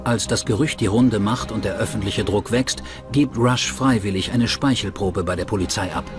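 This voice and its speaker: A man's voice: lowish voice, normal speed, natural voice. A man reading scripted true-crime documentary narration as the narrator. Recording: music bed under the voice. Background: background music.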